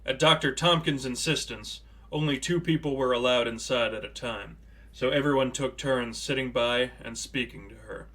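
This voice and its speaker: A man's voice: mid-range voice, normal speed, bright, forceful tone. A man reading a story aloud as narrator, in a scripted audiobook style.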